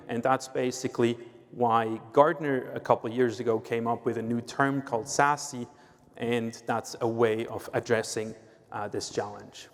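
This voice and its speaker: A man from Switzerland talks with a Swiss accent at a middle pitch. He explains step by step as a guest on a panel and often checks in with "right?". A long hall reverb trails his words. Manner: explanatory, uses filler "uh" and "right?". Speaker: sales executive, guest speaker. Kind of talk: conference panel talk.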